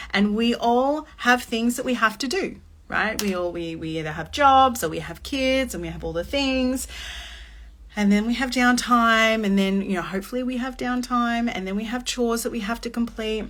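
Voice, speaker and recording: A woman in her late thirties hosting a chatty, expressive motivational live-stream talk. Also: medium pitch. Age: 30s. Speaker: host.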